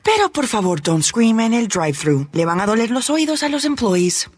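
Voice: mid-range voice, big pitch swings, normal speed, faint music behind. A speaker giving a playful, teasing scripted ad read.

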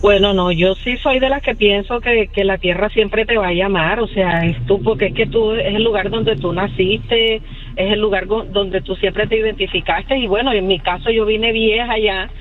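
A middle-aged woman calling a radio show, talking in a frank, lively way. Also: mid-range voice, moderate pace. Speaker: caller. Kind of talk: phone-in radio call. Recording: dull phone-line call.

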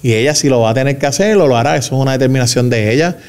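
A Puerto Rican man speaking as a government spokesman in a radio interview, measured and emphatic, with fillers and restarts. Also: mid-range voice, darkish tone, clear, resonant tone. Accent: Puerto Rican Spanish. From Puerto Rico.

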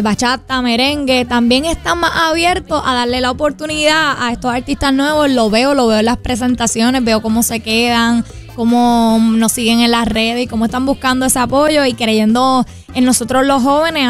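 A woman's voice: on the high side, steady tone, normal speed, soft background music. A young woman with a Puerto Rican accent, talking in an informal, flowing way as a singer-songwriter in a radio interview. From Puerto Rico. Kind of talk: conversational promotional radio interview.

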